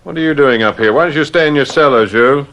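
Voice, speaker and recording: A man's voice: a little high, fast, fairly bright tone, poor audio. An actor playing an earnest, insistent young policeman and jealous fiancé in an old radio mystery drama. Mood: jealous, angry.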